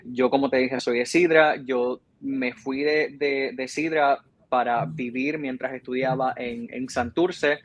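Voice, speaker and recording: A man's voice: mid-range voice, steady tone, a little slow, hardly any pauses. A man in his twenties with a Puerto Rican accent, giving reflective, earnest answers as a guest in a conversational interview. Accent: Puerto Rican Spanish. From Puerto Rico. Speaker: interview guest, journalist and TV reporter.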